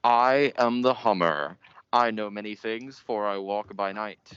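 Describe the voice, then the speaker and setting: An actor playing a pompous, melodramatic 1940s radio mystery host, theatrical and portentous in manner. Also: male voice, fairly low pitch, unhurried, a bit bright, clear, resonant tone, dry recording. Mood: ominous. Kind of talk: old-time radio mystery narration. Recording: muffled, noisy amateur stage recording.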